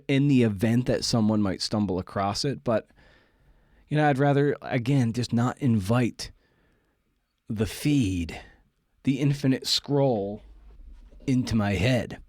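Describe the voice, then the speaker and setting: A man hosting a podcast in a casual, confessional monologue, halting and self-deprecating, with casual swearing. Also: medium pitch, darkish tone, dry recording, clean studio sound. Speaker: podcast host. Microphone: close podcast microphone.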